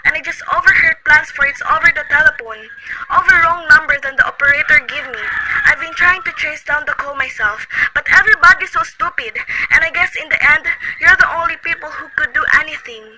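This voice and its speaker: A woman acts a fretful bedridden wife in a radio play, high-voiced and imperious. Her lines pour out anxious and demanding. A loud hiss runs under the whole recording. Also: steady tone, fairly quick, lightly acted. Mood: agitated. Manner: shrill, agitated, imperious. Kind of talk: amateur radio play acting.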